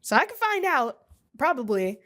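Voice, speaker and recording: A woman's voice: high-pitched, very animated, moderate pace, fairly bright tone. A woman in her twenties hosting a casual solo podcast from her home office, rambling and self-deprecating with chuckles and asides. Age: 20s. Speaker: podcast host.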